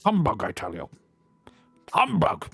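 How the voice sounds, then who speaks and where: A man's voice: mid-range voice, wildly swooping pitch, a little slow, creaky, fairly bright tone, husky, no echo, faint music behind, pitched above the performer's own voice, heavily acted. A man playing a frightened old miser. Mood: scoffing. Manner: raised, trembling, pleading.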